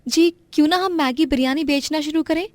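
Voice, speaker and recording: A woman's voice: high voice, a little fast, no echo, pitched above the performer's own voice, lightly acted. A woman voicing a resourceful young village wife in a cartoon, eager and upbeat.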